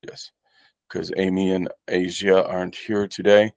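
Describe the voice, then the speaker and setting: A man chairing a committee meeting in a slow, formal, procedural way. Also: low-pitched, level tone, gravelly, a little mellow, dry recording.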